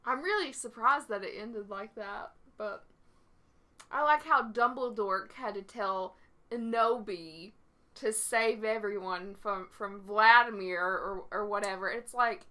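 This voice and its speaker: A woman's voice: medium pitch, measured pace, pushed and bright. A woman co-hosting a reaction video, chatting casually about what was watched.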